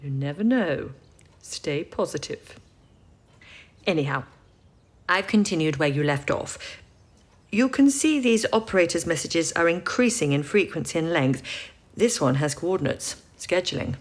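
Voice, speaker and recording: Actress as encouraging, low-voiced wartime codebreaker woman. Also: animated, unhurried. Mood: kind, reassuring. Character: kind, encouraging female wartime codebreaker, steady and practical. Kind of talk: audio drama acting.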